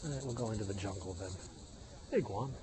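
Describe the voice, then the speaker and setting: A man's voice: lowish voice, fast talker, background noise. A middle-aged man with a Midwestern American accent, chatting casually to viewers as he livestreams a walk on a hillside trail and points out the sights. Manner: chatty, tour-guide pointing out sights, answers viewer comments. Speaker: live-streaming vlogger. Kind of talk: casual walking livestream chat. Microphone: phone held while walking. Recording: phone recording outdoors with loud cicadas. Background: insects and cicadas.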